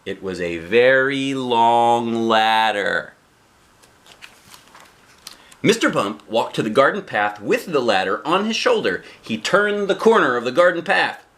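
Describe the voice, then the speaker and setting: Lively, animated man reading a storybook. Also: medium pitch. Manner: expressive, drawn-out emphasis on key phrases. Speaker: storybook reader, a dad. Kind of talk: animated read-aloud of a children's storybook.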